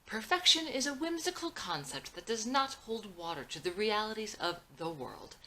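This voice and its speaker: A woman acts out an embittered villain son from an adventure script. The voice is sharp and forceful, the manner arrogant and contemptuous, with faint noise in the background. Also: medium pitch, big pitch swings, moderate pace, voice pushed up.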